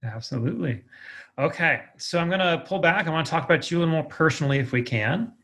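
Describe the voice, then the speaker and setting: A man's voice: fairly high pitch, animated, fast talker, a bit bright. A man hosting a podcast, asking questions in a casual, conversational interview style.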